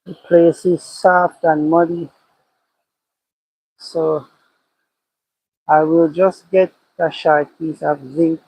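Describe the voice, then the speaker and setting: Man, Jamaican accent, cattle farmer, slow pace, fairly high voice, outdoor livestream. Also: level tone, a little mellow, low-quality recording. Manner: slow, thinking aloud, repeats phrases. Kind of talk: casual livestream chat, reading comments. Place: outdoors by a cow pasture.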